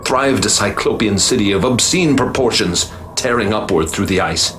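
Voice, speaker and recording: A man's voice: fairly low pitch, expressive, moderate pace, a bit bright, slight background noise. An actor playing a male professor and explorer who narrates his discovery in earnest, dramatic tones, in a radio drama. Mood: awed. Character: middle-aged male professor, awed, driven explorer. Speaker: narrator and lead character. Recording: music bed behind the voice.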